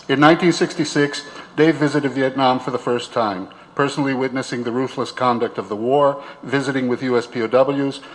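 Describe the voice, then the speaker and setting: A man's voice: mid-range voice, rough recording. A man narrates a documentary in a mid-range voice. He reads prepared narration as the storyteller who links the program together. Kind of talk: scripted narration.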